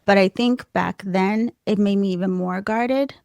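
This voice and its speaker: Soft-voiced woman, candid and laughing. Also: medium pitch, unhurried, vocal fry, no room sound. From United States. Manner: laughs often, animated and self-deprecating. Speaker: podcast guest. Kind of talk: candid conversational storytelling.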